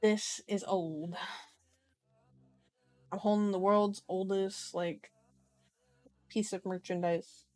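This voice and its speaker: A woman's voice: medium pitch, steady tone, slow pace, pauses often, darkish tone. A woman hosting an ASMR book reading, playful and chatty, mixing narration with casual asides. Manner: playful, chatty, strays from the text into comments. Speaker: host of an ASMR channel.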